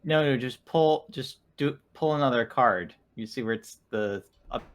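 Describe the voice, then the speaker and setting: A man's voice: medium pitch, moderate pace. A man playing a tabletop game, chatting casually and explaining rules and dice results.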